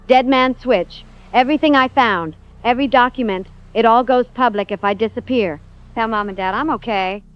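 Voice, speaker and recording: Actress as high-voiced determined fugitive woman, lo-fi. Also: normal speed, clean tone, natural voice. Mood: firm. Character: determined fugitive woman investigator leaving a last message. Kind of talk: audio drama voice message. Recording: dull lo-fi radio transmission, music behind.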